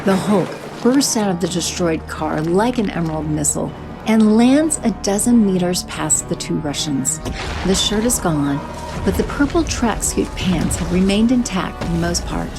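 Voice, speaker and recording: A woman narrating fast-moving action scenes in a brisk, vivid style. Her voice sits slightly low, with a music score clearly playing underneath. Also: animated.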